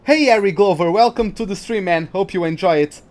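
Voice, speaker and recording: High-voiced Portuguese-accented gaming streamer. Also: male voice, a little fast. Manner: rambling, hesitant, frequent restarts and fillers. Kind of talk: live gameplay commentary and chat with viewers.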